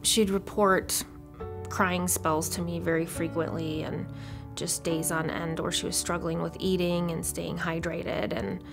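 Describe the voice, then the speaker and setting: Low, creaky American woman. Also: level tone, unhurried. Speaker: case manager. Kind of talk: interview testimonial. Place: indoor interview room. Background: music.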